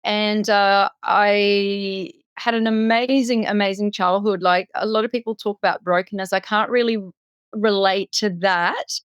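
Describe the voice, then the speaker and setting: A middle-aged woman from Singapore shares her life story as a podcast guest. She is articulate and reflective, with an average-pitched voice. The recording is dry, with no room sound. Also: steady tone, a little slow, smooth, no room sound, muffled recording. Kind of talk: personal storytelling in an interview.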